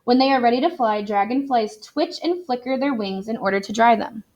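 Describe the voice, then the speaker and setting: Female teacher, slightly high pitch, reading aloud and explaining clearly to young children. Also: fairly quick, darkish tone, dull audio. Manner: explanatory, addressing young learners. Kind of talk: expressive read-aloud for children.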